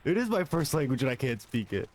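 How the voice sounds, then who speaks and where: Animated young man streaming a shooter. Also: mid-range voice, quick pace, slight background noise. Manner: self-mocking, swearing, laughing between shots. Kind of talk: casual gameplay commentary.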